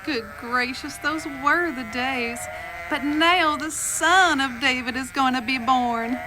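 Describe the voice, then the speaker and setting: A woman's voice: sits high, slow, noisy background. A woman playing a sassy, confident angel choir director, sugary with endearments, in a family audio drama. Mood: warm, confident.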